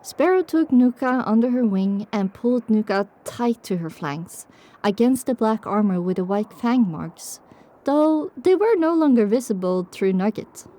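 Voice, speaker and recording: A woman's voice: fairly high pitch, expressive, a little slow, dark tone. A woman narrator reading a scripted first-person story for an audio drama.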